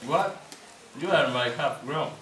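A man's voice: fairly high pitch, animated, slow pace. A young man acting as a father in an amateur puppet show. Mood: stern, questioning. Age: young adult. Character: kindly father who gives gifts, sometimes stern. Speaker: student puppeteer. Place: indoor day centre room, puppet booth. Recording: echoey room, distant camera, noisy.

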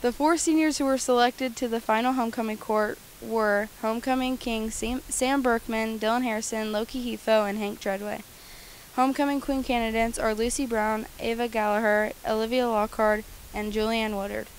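A woman's voice: on the high side, steady tone, normal speed, slight background noise, poor audio. A teenage girl reading school news announcements outdoors on a football field, speaking into a handheld mic. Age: teens. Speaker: student news anchor.

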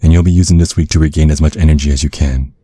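A man playing a tender, protective vampire boyfriend in an ASMR roleplay, speaking in a soothing, coaxing, affectionate way with a husky voice. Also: rumbling low, steady tone, rapid-fire, warm tone, dry recording. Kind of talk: ASMR roleplay, intimate soft talk.

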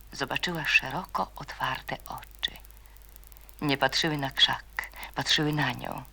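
A narrator reading a radio drama in a measured, suspenseful way, hushed at times. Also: mid-range voice, vocal fry, husky.

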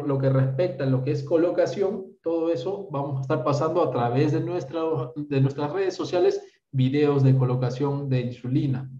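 A man's voice: fairly high pitch, mellow, far from the mic. Peruvian man lecturing over a dull, roomy video call. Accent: Peruvian Spanish. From Peru. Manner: explanatory, often adds "¿está bien?". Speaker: doctor teaching a workshop. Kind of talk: online medical lecture answering questions.

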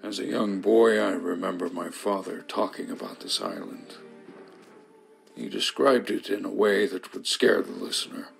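A gravelly, grim castaway narrator. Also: male voice, low voice, expressive, soft background music, exaggerated voice. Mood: haunted. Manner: somber, measured storytelling. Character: grim shipwrecked man recounting island horrors. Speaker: game narrator. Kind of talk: scripted game narration.

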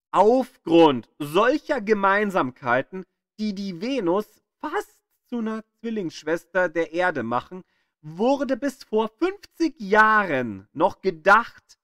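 A man reading scripted educational narration like an audio tour guide, with an expressive storytelling delivery. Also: sits high, dry recording, studio quality.

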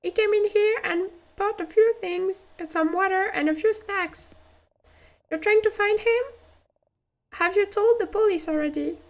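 A woman with a French accent voicing a chatty bearded male store clerk in a game with a high, squeaky put-on voice. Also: unhurried. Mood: warning.

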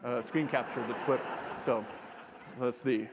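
A young man gives a relaxed, joking public science talk and often stops to restart his sentences. His voice is middle-pitched. He is on a lecture hall stage, and the sound carries a long hall reverb. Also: normal speed, background noise. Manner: casual and joking, with frequent fillers and restarts. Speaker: keynote speaker, astronomer.

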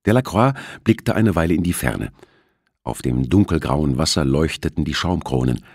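A man narrates a novel in a low, slightly rough voice. His delivery is scripted storytelling with very animated intonation. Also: normal speed, no room sound, clean studio sound. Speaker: audiobook narrator.

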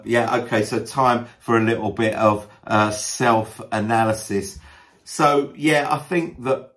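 A man talking casually as a video creator, in a self-deprecating, joking manner, often pausing with ums and uhs while he thinks. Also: lowish voice. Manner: hesitant, frequent ums and uhs as thinking time. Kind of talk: casual talk to camera, answering a list of questions.

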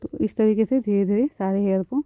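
Calm woman beautician explaining threading. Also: mid-range voice, fairly quick, phone-quality sound, a little hiss or hum. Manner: calm, instructive, repeats phrases. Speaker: beautician, channel host. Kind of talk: step-by-step tutorial narration.

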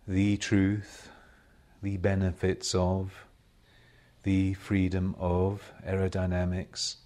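A man leading a guided meditation as a spiritual teacher, speaking in measured, solemn short phrases with long pauses between them. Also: low-pitched, darkish tone. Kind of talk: slow guided meditation talk.

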